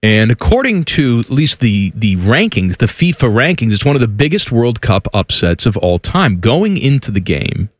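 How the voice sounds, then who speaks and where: A man's voice: medium pitch, very animated, moderate pace, few pauses, dry recording. A man co-hosting a casual podcast chat with dry, teasing humor. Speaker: podcast co-host.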